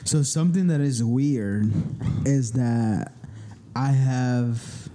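Relaxed, rambling man with warm voice. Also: medium pitch, takes it slowly. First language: Spanish. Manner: relaxed, rambling, profane talk. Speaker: podcast co-host. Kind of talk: casual chat among friends.

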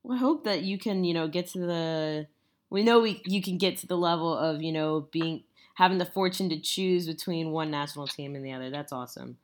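A woman's voice: low-pitched, brisk. A young woman co-hosting a casual podcast, teasing her co-host and laughing often.

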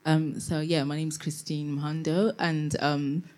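A woman designer from Tanzania talks an audience through her work. She speaks haltingly, with many pauses and restarts, in a soft, dark-toned voice. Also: low voice, level tone. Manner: hesitant, many fillers and restarts. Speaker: fashion designer presenting her brand. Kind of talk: live presentation to an audience.

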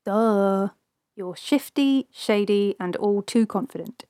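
A man's voice: sits high, takes it slowly, warm tone, clean tone, no room sound, studio quality, pitched above the performer's own voice. A man voicing a brash, streetwise half-elf informant who passes as female, with a blunt, sardonic, colloquial delivery in a fantasy audiobook. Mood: scornful. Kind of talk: audiobook character dialogue.

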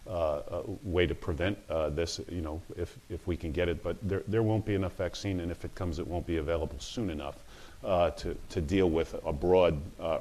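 A man speaking on a panel in unscripted remarks, halting, with many fillers and restarts. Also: sits low, darkish tone.

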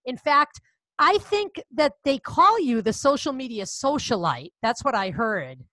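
A woman's voice: high-pitched, sing-song, measured pace, sharp tone, no echo. A woman in her fifties with a Boston accent, hosting a podcast interview in a bubbly, gushing way. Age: 50s. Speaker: podcast host. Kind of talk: casual interview chat.

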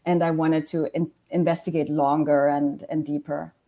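Woman, forties, grew up in Germany; documentary filmmaker as guest, low and mellow, earnest with lots of restarts. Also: flat delivery, moderate pace. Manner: earnest, many fillers and restarts. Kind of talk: interview answers.

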